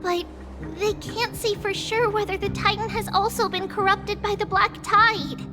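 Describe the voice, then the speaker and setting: A woman's voice: extremely high pitch, fairly even intonation, normal speed, long reverb tail, over music. A woman voicing a tiny, sweet, earnest little girl in a video game, with a childish, wide-eyed, hesitant delivery. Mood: anxious, hesitant. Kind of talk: cute video game character acting.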